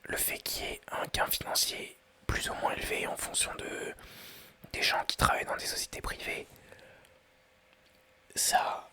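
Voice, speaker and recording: A man's voice: measured pace, long pauses, sharp tone. Whispering young man thinking aloud. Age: 20s. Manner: hesitant, rambling, thinking aloud. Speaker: ASMR creator. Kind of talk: whispered ASMR quiz commentary.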